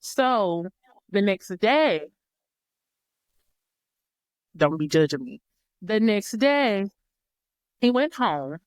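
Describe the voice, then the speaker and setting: A woman's voice: mid-range voice, very animated, extremely slow, pauses often, clean tone, no echo, studio quality. A woman guest on a podcast, telling a personal story in a reflective way and often repeating and rephrasing herself. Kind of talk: casual storytelling chat.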